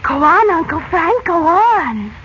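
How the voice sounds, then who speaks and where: An American actress playing an eager, inquisitive young niece in a 1940s radio serial, full of girlish, excitable questions. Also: very high voice, unhurried, exaggerated voice. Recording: muffled, noisy late-1940s radio recording.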